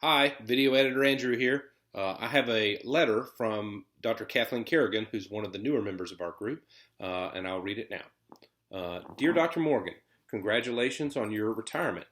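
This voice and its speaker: A man's voice: on the low side, a little fast, a bit bright. A man in his thirties, the video editor, reading a letter aloud in a matter-of-fact way.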